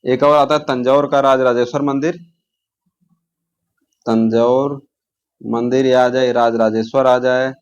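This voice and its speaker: Guy coaching for exams, mid pitch, asks stuff then answers it, repeats the key words. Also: level tone, measured pace, pauses often, muffled recording. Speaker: exam coaching teacher. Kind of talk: informal exam-prep lesson, question and answer.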